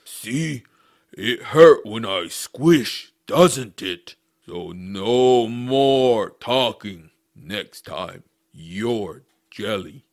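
A man playing a slow-witted, menacing, hungry giant in an audio drama, speaking in halting broken sentences. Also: fairly low pitch, expressive, exaggerated voice. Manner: halting broken speech in third person.

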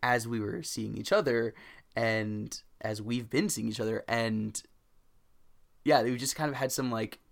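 A young man with a loud voice co-hosting a podcast, chatting casually, rambling with fillers and laughing often. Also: medium pitch, steady tone, unhurried, creaky. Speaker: podcast co-host. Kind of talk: casual chat.

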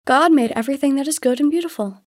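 Woman as a gentle, patient mother, higher-pitched. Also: dry recording, clean studio sound, natural voice. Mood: tender. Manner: tender, measured, instructive. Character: gentle, patient, devout mother teaching her daughter.

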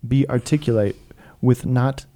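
A man's voice: medium pitch, fairly even intonation, a little slow, warm tone. A young priest co-hosting a podcast, teasing his partner and rambling with many filler words. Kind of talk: casual chat.

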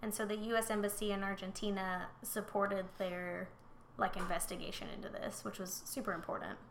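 Blunt gravelly young woman, faint room hum. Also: medium pitch, flat delivery. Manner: blunt, swears freely, quick asides. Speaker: podcast co-host. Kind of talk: casual true-crime chat.

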